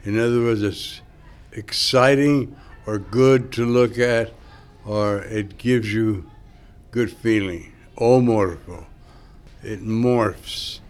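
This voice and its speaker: Older sculptor, Greek native, unhurried reflective delivery, lowish voice. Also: male voice, clean tone. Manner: slow, thoughtful, musing. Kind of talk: interview reflection.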